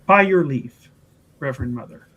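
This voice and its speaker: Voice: mid-range voice, expressive, normal speed, narrow, tinny audio, pitched below the performer's own voice. A player voicing an earnest, devout religious sister who speaks formally and carefully in a tabletop game. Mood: polite, dutiful. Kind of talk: tabletop roleplay in character. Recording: clear background noise.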